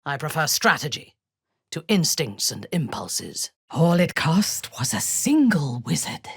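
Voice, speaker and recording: A woman playing a ruthless, imperious female warrior commander in a fantasy video game, measured and haughty, with a husky, breathy voice and coldly seductive menace. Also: sits low, big pitch swings, no room sound, studio quality, heavily acted. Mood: proud, resolute.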